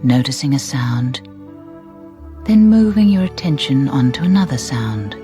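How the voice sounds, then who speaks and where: A woman narrating a guided meditation in a soft, breathy voice, soothing and deliberate, with gentle phrasing and pauses. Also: extremely low pitch, expressive. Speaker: relaxation guide. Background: music.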